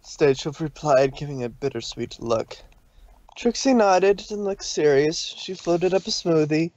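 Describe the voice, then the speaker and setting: A woman's voice: sits low, lively intonation, measured pace, a little mellow. A woman hosting and reading fan fiction in an informal way. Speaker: fan fiction reader and host. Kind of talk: narrated story reading and casual chat. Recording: dull, dry lo-fi microphone.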